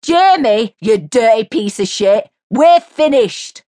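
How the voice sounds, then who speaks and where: A woman's voice: fairly high pitch, lively intonation, slow, no room sound, clean studio sound, pitched above the performer's own voice. A woman voicing a shrieking, furious woman. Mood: screaming. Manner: shouting, screeching, foul-mouthed. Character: furious woman, shrieking at her cheating partner.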